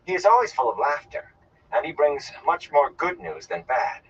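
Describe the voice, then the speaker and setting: Man voicing a prim young village woman, pitched up. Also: lively intonation, creaky, fairly bright tone, recorded from a distance, highs cut off, slight background noise. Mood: mildly disapproving. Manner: measured, politely restrained. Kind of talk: audiobook character voice.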